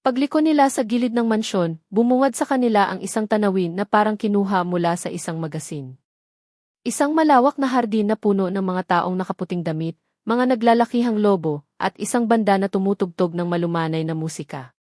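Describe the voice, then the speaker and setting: Filipino woman storyteller, scripted drama narration, medium pitch, no room sound at all. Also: fairly quick, darkish tone, no echo, studio quality.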